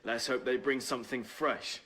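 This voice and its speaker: A man's voice: medium pitch, fairly even intonation, normal speed, background noise, natural voice. A man playing a showman MC announcing an act at an underground club in an audio drama. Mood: hyping. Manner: announcing to a crowd. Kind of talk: dystopian audio drama acting. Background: music.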